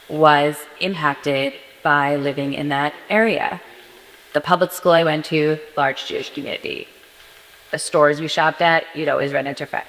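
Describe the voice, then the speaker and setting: A woman from near New York City speaks earnestly as a panelist and circles back to repeat her key phrases. Her voice sits low. The sound carries the long reverb of a conference hall. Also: a bit bright. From northeastern United States, near New York City. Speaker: forum panelist. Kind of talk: personal testimony at a panel.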